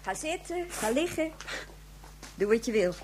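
An actress playing a blunt, chatty station leader in a radio drama, breezy and outspoken, with a husky voice. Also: female voice, on the high side, sing-song, unhurried, over loud music. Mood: brisk, welcoming.

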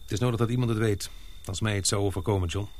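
A man acting in a radio play as a grave, suspicious expedition member, speaking earnestly and deliberately. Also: fairly low pitch, steady tone, a little mellow, lightly acted. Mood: ominous, resolute. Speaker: radio drama actor.

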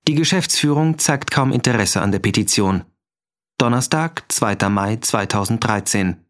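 A man's voice: on the low side, normal speed. A man reading factual scripted narration and scene announcements in a radio drama.

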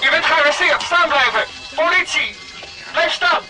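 Shrill barking policeman, far off. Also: unhurried, over music. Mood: urgent, threatening. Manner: barking, shouted commands. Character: bellowing, officious policeman barking orders. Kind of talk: radio play acting.

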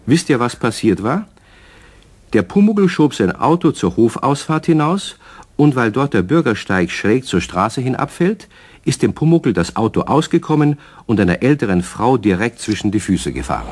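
A man narrating a children's radio play as a friendly storyteller with a husky voice. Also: mid-range voice, sing-song, moderate pace, a little mellow. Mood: storytelling.

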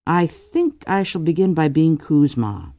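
Casting: commanding, wry noblewoman matchmaker for an audiobook, animated intonation, mellow tone woman narrator performing. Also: deep voice, unhurried, no echo, muffled recording, pitched above the performer's own voice, heavily acted. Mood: wry, teasing. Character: brisk, wry noblewoman playing matchmaker, forcefully teasing.

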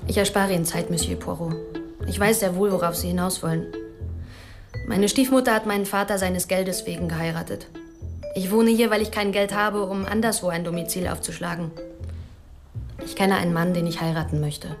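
An actress playing a cool, candid young heiress in a radio play, composed, blunt and matter-of-fact. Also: female voice, fairly low pitch, brisk, music in the background, natural voice. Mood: cool candour.

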